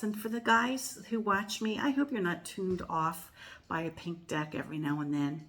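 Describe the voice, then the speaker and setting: An older woman from the United States hosting her tarot channel, talking casually to viewers in a rambling way, with lots of fillers and self-corrections. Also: fairly low pitch. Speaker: tarot reader and channel host. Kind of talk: casual chatty monologue to viewers.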